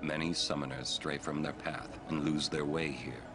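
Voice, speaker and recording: Very deep, stoic warrior. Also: male voice, steady tone, normal speed, over music. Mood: ominous. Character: gruff, stoic middle-aged male warrior. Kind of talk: video game cutscene acting.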